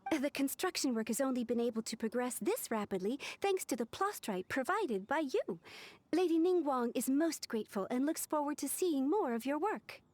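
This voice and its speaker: A woman's voice: high voice, expressive, moderate pace, a little mellow, dry recording. A woman playing a diligent, courteous young female official in a video game, explaining things formally.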